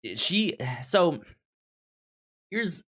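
A man co-hosting a podcast, casually discussing a film in a halting way, often repeating and restarting his words. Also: high voice, big pitch swings, fairly bright tone. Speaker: podcast co-host reviewing a film.

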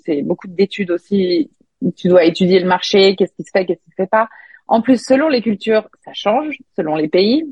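A woman's voice: mid-range voice, darkish tone, no echo, lo-fi sound. A woman singer and songwriter, a native French speaker, chatting casually in an interview with frequent restarts. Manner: chatty, many restarts and fillers.

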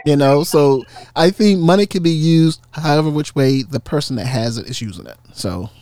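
A man's voice: fairly high pitch, moderate pace, a little mellow, no echo. A man hosting a casual podcast discussion, talking in an encouraging, affirming way. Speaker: podcast host.

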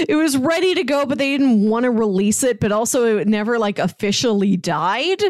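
A woman's voice: fairly high pitch, expressive, normal speed, darkish tone, no echo, studio quality. A woman co-hosting a podcast, chatting casually about pop culture in a talkative way full of "like". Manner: chatty, frequent "like". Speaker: podcast co-host.

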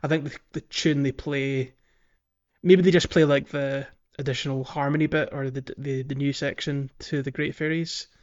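A man hosts a casual gaming podcast, talking in a chatty, descriptive way at a medium pitch. The audio is a little dull and lo-fi, with the top end softened. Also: fairly even intonation, moderate pace. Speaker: podcast host.

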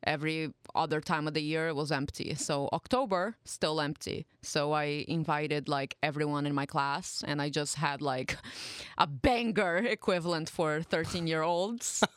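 Clear-voiced young woman chatting casually. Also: sits low, moderate pace, no echo, studio quality. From Brazil. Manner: chatty, informal. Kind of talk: casual chat and anecdote.

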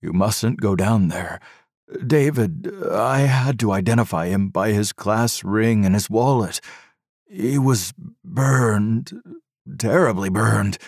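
A man's voice: lowish voice, slow pace, darkish tone, slightly rough, no echo, studio quality, exaggerated voice. A man voicing an anguished middle-aged doctor who groans and pleads with his wife in an audiobook reading. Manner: strained, groaning, restraining.

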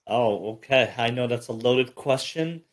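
A man from Egypt, an army chaplain and counselor, talking casually in an interview with frequent fillers and a relaxed, self-deprecating manner. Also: mid-range voice, a little slow.

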